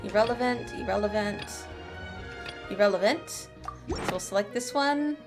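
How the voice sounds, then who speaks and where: A woman's voice: medium pitch, moderate pace, music in the background. A woman streamer giving casual gameplay commentary and reading choices aloud. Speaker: streamer playing a game.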